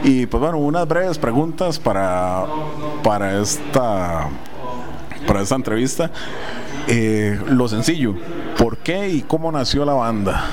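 Radio host, mid-pitch, stumbling through questions, crowd loud behind. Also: male voice, expressive, measured pace. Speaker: radio show host, interviewer. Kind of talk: casual interview. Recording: noisy crowd in the background.

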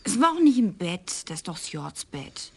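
An actress playing a distraught wife who confesses in a halting, emotional way in a radio play. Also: female voice, lowish voice, very animated, breathy edge, full character voice.

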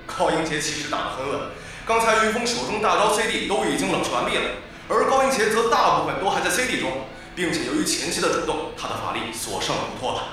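An eager esports co-commentator with a high, quick voice. He explains the match in polished broadcast style for an audio drama. His words echo strongly. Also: sing-song, full character voice. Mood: assured, analytical. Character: eager male esports analyst, agreeable and knowing. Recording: echoey arena sound over loud music.

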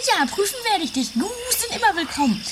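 A woman's voice: very high-pitched, sing-song, fast. A woman playing a bossy, scatterbrained wife and self-declared great guru, dithering and argumentative, in an improvised comedy radio play on a noisy old amateur recording. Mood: teasing, argumentative.

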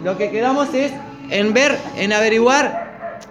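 Older rioplatense guy leading bible study, earnest, high-pitched, music in the background. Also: measured pace. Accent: Rioplatense Spanish. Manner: earnest, questioning, leads the prayer. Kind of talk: group Bible study discussion and spoken prayer.

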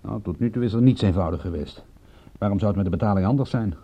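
An actor in a radio drama playing a wry, stubborn private detective with an offhand way of talking. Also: male voice, on the low side, fast, warm tone, breathy edge. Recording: muffled, dull old radio recording.

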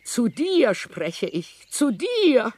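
A man's voice: extremely high pitch, wildly swooping pitch, very slow, darkish tone, no room sound, full character voice. An actor playing a young man who narrates village events vividly in a radio drama, an astonished observer. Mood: thundering. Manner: vivid storytelling, quotes with emphasis.

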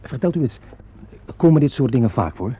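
On a dull vintage radio recording, a worried, sceptical theatre designer. His husky voice is mellow yet lively in pitch, his manner curt and protective. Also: fairly quick. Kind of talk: vintage Dutch radio play acting.

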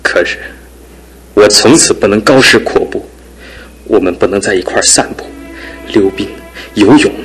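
An actor in a radio drama playing a despairing young man crippled in one leg, his talk swinging from hushed to anguished outbursts. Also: lowish voice, normal speed, pauses often, soft background music, natural voice. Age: young adult. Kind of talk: broadcast radio drama acting.

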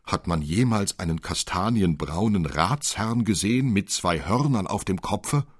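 Distraught councillor, read by a male narrator, low husky voice, plaintive, pompous, storybook tale. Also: animated, unhurried, dry recording, studio quality, exaggerated voice. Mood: lamenting. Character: lamenting city councillor, distraught new father.

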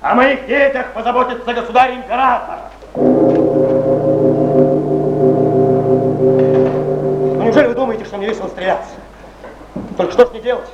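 An impassioned poet defending his honour in a stage drama. His words come fast and high, declaimed with bitter intensity. The old theatre recording is echoey. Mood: desperate. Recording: echoey, muffled 1970s theatre recording, faint hiss.

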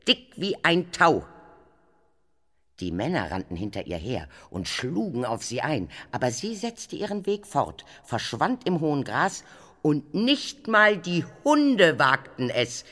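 Sharp, mocking gossip. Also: male voice, fairly high pitch, big pitch swings, unhurried, heavily acted. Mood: eerie storytelling. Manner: teasing, theatrical storytelling. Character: mocking, gossipy male bringer of messages at court. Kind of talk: radio play acting.

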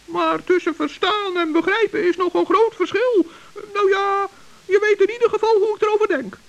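A woman's voice: very high-pitched, fairly even intonation, normal speed, lo-fi sound. A woman voicing a cheerful, brave male forest gnome in an old children's radio play, chatty and earnest. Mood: chirpy.

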